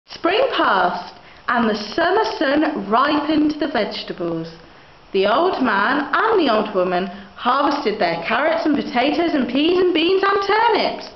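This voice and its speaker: A woman's voice: sits high, big pitch swings, a little slow. A young woman reading a children's story aloud expressively, in the manner of a student narrator. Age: young adult. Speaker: student reader. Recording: echoey, muffled room recording.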